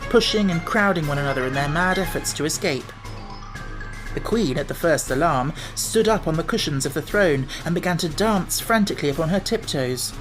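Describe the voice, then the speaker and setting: A woman narrating a classic children's storybook with a lively, expressive reading. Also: low-pitched, normal speed, over music, low-quality recording. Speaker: audiobook narrator.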